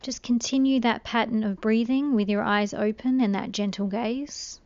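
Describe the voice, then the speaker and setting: Female meditation guide, gentle and soothing, with noticeable vocal fry, recorded very dry. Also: on the high side, fairly even intonation, no room sound, muffled recording. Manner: gentle, soothing, unhurried instruction.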